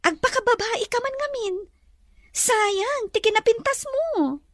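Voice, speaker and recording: A woman as a high-voiced, gentle young lady. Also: expressive, measured pace, no room sound, studio quality, full character voice. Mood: friendly, teasing. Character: gentle, repentant young lady of the mansion, anxious bride-to-be. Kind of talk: audio drama acting.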